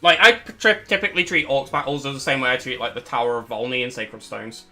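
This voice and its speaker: A man's voice: medium pitch, fairly even intonation, quick pace, sharp tone. A young man hosting a live stream in a casual, rambling way, his voice cracking as he loses it. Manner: rambling, self-correcting, chatty. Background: faint background music.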